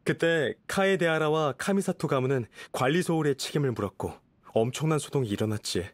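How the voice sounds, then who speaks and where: An actor playing a courteous young noble official in a game, composed and reassuring, speaking in a measured, formal way. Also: male voice, on the high side, no echo, lightly acted. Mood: grave, explanatory. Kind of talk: game voice acting. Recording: music behind the voice.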